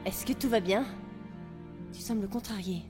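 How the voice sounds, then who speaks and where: A woman playing a gentle young queen, a devoted and protective elder sister, in a French fandub of a fantasy drama. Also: mid-range voice, normal speed, natural voice. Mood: caring. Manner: earnest, soft-hearted. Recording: loud music under the voice.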